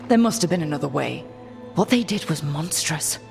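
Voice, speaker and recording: An actress playing a confident, wry adventurer in a video game, with a direct manner. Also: female voice, deep voice, lively intonation, normal speed, vocal fry, faint music behind. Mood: curious, earnest. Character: confident, wry adventuring woman, determined and teasing. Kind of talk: video game character acting.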